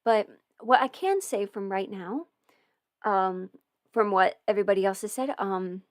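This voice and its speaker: A young woman hosts a relaxed livestream, answering questions in a chatty, wandering way full of fillers and self-corrections. Her voice sits at an ordinary middle pitch for a woman. It is recorded close and dry, with no room sound around it. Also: unhurried, no echo. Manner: chatty and meandering, many fillers and restarts. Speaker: audio performer and channel host. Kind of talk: casual livestream Q&A.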